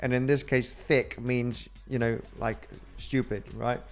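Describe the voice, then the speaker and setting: A man's voice: mid-range voice, level tone, unhurried, soft background music. A man with a Spanish accent, a crypto trading host chatting casually on a livestream and reading out viewer comments in a rambling way. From Spain. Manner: rambling and chatty, with frequent fillers and laughs.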